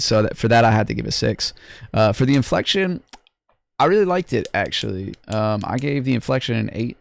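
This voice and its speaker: A man's voice: mid-range voice, creaky, clear, resonant tone, no room sound. A young man hosting a livestream, casually rating music contest entries in loose, slangy talk full of restarts. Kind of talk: casual livestream review chat.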